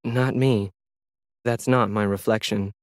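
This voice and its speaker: A man voicing a loyal, stubborn android worker with a measured, earnest manner in an audio story. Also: on the low side, fairly even intonation, no room sound, clean studio sound.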